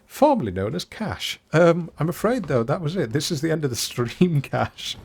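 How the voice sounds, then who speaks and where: A man's voice: a little high, very animated, normal speed, creaky, darkish tone, breathy edge. A man streaming a game from home, chatting casually and poking fun at himself while answering viewers. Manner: chatty and self-deprecating, answers viewers' chat aloud. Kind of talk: casual live-stream chat while gaming. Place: home, not soundproofed.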